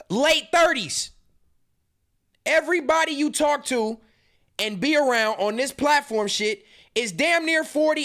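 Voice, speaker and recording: Male podcast host in his thirties, high voice, heated and taunting reaction commentary. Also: a bit bright. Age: 30s. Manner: profane, mocking, confrontational.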